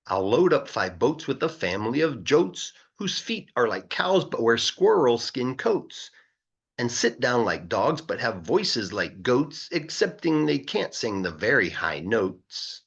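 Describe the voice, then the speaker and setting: A man plays an eager young storybook boy boasting in bouncy rhyme. The pitch swings widely, the tone is a bit husky, and the sound is slightly dull. The delivery is excited and sing-song. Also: measured pace, heavily acted. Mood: excited, determined. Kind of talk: children's storybook reading.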